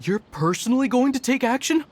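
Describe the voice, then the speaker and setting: A woman's voice: fairly high pitch, expressive, brisk, a little mellow, a little hiss or hum, natural voice. A woman playing an anxious rescued guard. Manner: anxious, pleading. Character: frightened rescued guard, earnest. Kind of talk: video game voice acting.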